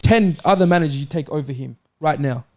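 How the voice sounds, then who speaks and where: Mellow, higher-voiced man debating football. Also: brisk, no echo. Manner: argumentative, insistent. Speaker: football talk show panelist. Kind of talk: casual sports debate.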